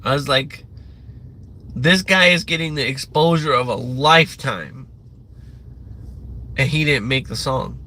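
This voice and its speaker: Bright-voiced rambling man ranting live. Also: medium pitch, a little slow, pauses often. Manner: loose, profane, meandering talk with many fillers. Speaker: livestream host.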